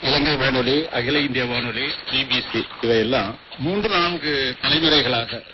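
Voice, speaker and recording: A man's voice: medium pitch, moderate pace. Older Tamil man on noisy shortwave. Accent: Indian Tamil. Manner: formal, literary phrasing. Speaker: retired radio official, interviewee. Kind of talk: measured formal interview answers. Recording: noisy muffled shortwave radio broadcast.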